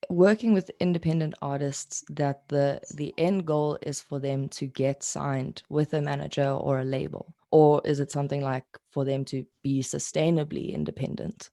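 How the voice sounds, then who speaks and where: A woman's voice: deep voice, steady tone, moderate pace, hardly any pauses, mellow, no echo, studio quality. A woman hosting a podcast, asking questions in a casual conversational style. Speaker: podcast host, interviewer.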